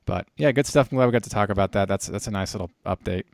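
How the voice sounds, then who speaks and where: A man's voice: lowish voice, quick pace, gravelly, a little mellow, dry recording. A man hosting a casual hobby podcast, talking in a conversational, explanatory way. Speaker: podcast host.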